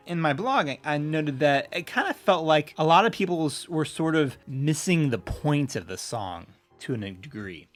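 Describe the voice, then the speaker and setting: An American man co-hosting a casual podcast, explaining things in a halting, rambling way and often correcting himself. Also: a little high, lively intonation. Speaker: podcast co-host.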